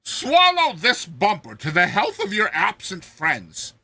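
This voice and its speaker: A man's voice: huge pitch swings, measured pace, sharp tone, no room sound, exaggerated voice. A man voicing a cruel, mocking, boisterous tyrant king in a theatrical, roaring storybook reading. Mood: commanding, jovial. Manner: booming, theatrical, roaring, imperious.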